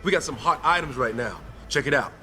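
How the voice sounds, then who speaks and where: A man's voice: mid-range voice, animated, brisk, pushed and bright. An actor playing a laid-back young male gang dealer in a game, talking in easygoing street slang. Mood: casual. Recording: game dialogue with faint music.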